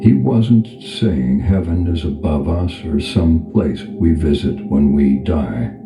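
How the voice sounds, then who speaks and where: A man leading a guided meditation as a calm spiritual teacher, speaking in a gentle, unhurried, instructional manner. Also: extremely low pitch. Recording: echoey reverb over background music. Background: music.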